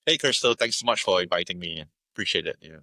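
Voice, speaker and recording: A man's voice: low-pitched, expressive, quick pace, pushed and bright, dry recording, clean studio sound. A middle-aged man with a Singaporean accent, speaking conversationally as an interview guest. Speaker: podcast guest, media entrepreneur.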